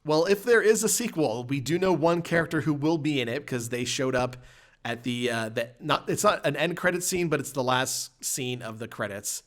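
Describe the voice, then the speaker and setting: A man's voice: mid-range voice, moderate pace. A man hosting a podcast in a casual film review conversation, thinking aloud with restarts as he goes. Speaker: podcast host.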